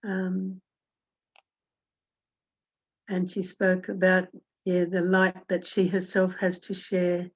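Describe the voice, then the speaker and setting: A woman introduces the next speaker over a video call, talking hesitantly. Her voice is lowish and husky, with a slow, flat delivery. The video call audio is dull and lo-fi.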